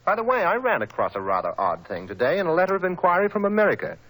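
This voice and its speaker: A man playing a brisk, enthusiastic young gentleman in a 1940s radio drama. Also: sits high, wildly swooping pitch, heavily acted. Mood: brisk, confident. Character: eager, breezy young English gentleman nephew. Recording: noisy old 1940s radio broadcast.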